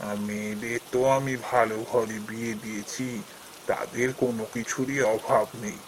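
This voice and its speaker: An actor playing an elderly, calm and firm village landlord in a fairy-tale cartoon, speaking in a measured, fatherly way. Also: on the low side, a little hiss or hum, rough recording.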